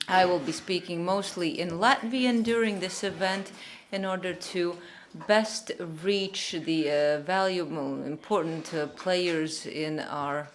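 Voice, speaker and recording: A woman working as a simultaneous interpreter at a conference, speaking in a measured way with pauses and self-corrections. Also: fairly low pitch, slight background noise.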